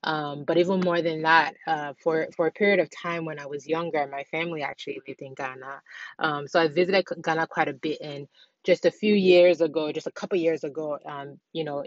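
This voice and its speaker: A woman's voice: deep voice, fairly even intonation, fairly quick, no room sound, highs cut off. A young woman from Nigeria hosting a podcast, chatting casually from inside her car with lots of fillers and restarts. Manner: rambling, many fillers and restarts. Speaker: podcast host. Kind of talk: casual solo podcast chat.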